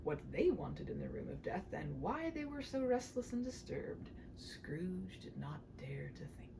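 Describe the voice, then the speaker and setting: A woman narrating a classic story aloud with theatrical expression, often dropping into a hushed tone. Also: on the low side, big pitch swings, measured pace, gravelly, noisy background. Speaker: amateur audiobook narrator. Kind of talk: dramatic storybook read-aloud.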